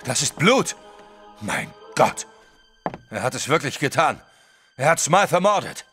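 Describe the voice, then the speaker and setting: An actor playing an excitable, emphatic French detective, baffled by a case, in a radio play. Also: male voice, mid-range voice, measured pace, faint music behind. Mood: shocked. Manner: dramatic, emphatic.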